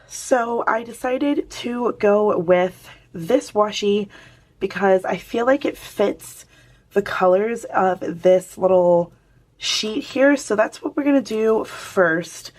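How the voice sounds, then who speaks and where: Hoarse woman chatting while crafting. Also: medium pitch, a little mellow. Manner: chatty, talks to viewers while working. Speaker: planner vlogger. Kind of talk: casual crafting chat.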